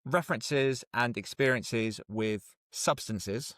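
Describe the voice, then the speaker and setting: A man's voice: mid-range voice, slow pace, fairly bright tone, no echo, studio quality. A man hosting a podcast in casual conversation, informal and amused. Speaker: podcast host. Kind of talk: casual interview chat.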